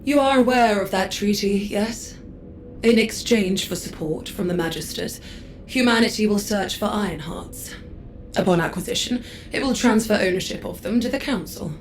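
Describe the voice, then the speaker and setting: A woman's voice: medium pitch, moderate pace, faint music behind, natural voice. A woman voicing an imperious adjudicator who speaks in a formal, condescending, clipped way. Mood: haughty. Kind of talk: game cutscene dialogue.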